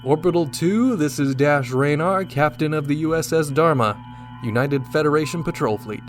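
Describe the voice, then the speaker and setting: A man's voice: fairly high pitch, normal speed, faint music behind, close to an everyday voice. A man playing a confident, dashing starship captain, commanding and wry, in a sci-fi radio drama. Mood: brisk, official.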